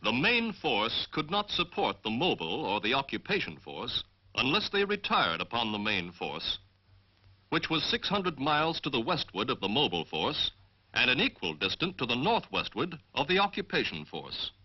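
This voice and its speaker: A man with an American accent giving formal, measured, scripted military narration as the narrator of a training film. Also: medium pitch, wildly swooping pitch, breathy edge. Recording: dull 1940s film soundtrack.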